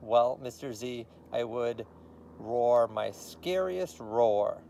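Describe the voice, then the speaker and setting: A man's voice: mid-range voice, expressive, takes it slowly, vocal fry, dark tone, a little hiss or hum. A man voicing a small, eager monster pupil from a storybook, with a gurgling way of talking.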